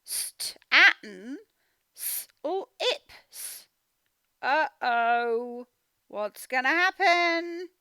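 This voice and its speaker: A woman's voice: sits high, lively intonation, drawn-out words, sharp tone, dry recording, clean studio sound. A woman reading a storybook as a phonics teacher, sounding out words and putting questions to young children. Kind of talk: interactive storybook read-aloud lesson.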